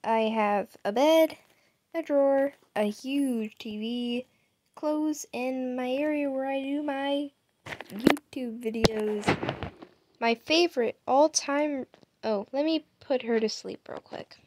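A woman's voice: high voice, takes it slowly, darkish tone, slight background noise. A woman giving a chatty, rambling tour of her game house, narrating over her screen as a gaming YouTuber. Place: home setup.

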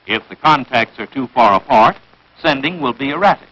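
A man narrates a 1940s military instructional film with an American accent. His delivery is crisp and declarative, with animated swings in pitch and a forceful, slightly husky edge. The sound is that of a vintage film soundtrack. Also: moderate pace, low-quality recording.

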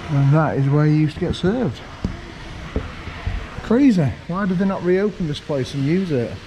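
A young man giving a relaxed, chatty walking tour outdoors, pointing things out as he goes, recorded on a handheld camera. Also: fairly high pitch, normal speed, background noise. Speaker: vlogger. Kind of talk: casual walking tour commentary. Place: outdoors walking around a lakeside town.

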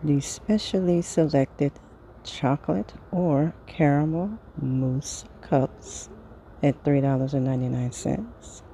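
A woman casually reading through a sales flyer item by item, pausing between phrases in an unhurried way. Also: sits low, mellow, slight background noise, rough recording. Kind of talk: casual product read-through.

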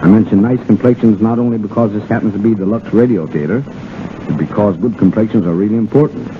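A man's voice: lowish voice, fast. An American man as a guest on 1930s radio, giving scripted banter in a wisecracking, colloquial way. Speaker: radio guest, dance director. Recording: faintly noisy, narrow-band 1930s radio.

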